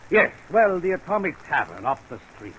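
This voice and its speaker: An actor playing a forgetful, teasing aging man in a 1940s radio drama, folksy and deadpan. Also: on the high side, normal speed. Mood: droll, rambling. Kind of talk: 1940s radio character acting. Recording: faintly noisy vintage radio recording.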